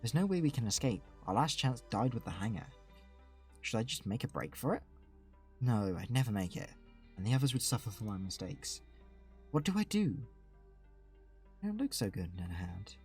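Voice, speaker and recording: A man's voice: mid-range voice, very animated, a little fast, pauses often, husky, faint music behind. A man reading a game aloud as a streamer, giving dramatic first-person narration of a visual novel.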